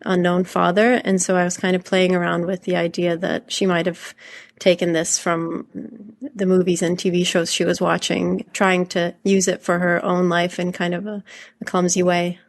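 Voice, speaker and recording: Low, creaky-voiced woman novelist, fairly even. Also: normal speed. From Hungary. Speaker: novelist being interviewed. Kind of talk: conversational literary interview.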